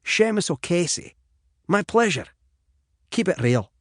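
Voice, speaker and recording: Man playing an animated, eccentric older golfer. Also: high-pitched, unhurried, no room sound, studio quality, voice pushed up. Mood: blunt, breezy. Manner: blunt, encouraging, offbeat. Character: eccentric, blunt, breezy golfer in his late fifties. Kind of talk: audiobook character dialogue.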